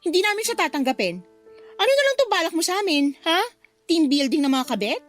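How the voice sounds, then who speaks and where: A feisty, exasperated mistress in a radio drama. Her high voice leaps around as she scolds in a rapid stream, music playing softly behind. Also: very animated. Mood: outraged. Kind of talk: Filipino radio drama acting.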